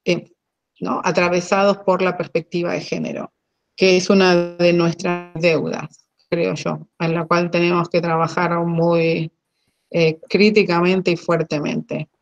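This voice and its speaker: A woman's voice: lowish voice, level tone, unhurried, gravelly, lo-fi sound. Hesitant Argentine woman moderating. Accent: Argentine Spanish. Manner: hesitant, full of fillers. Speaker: webinar moderator. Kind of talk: moderating an online panel.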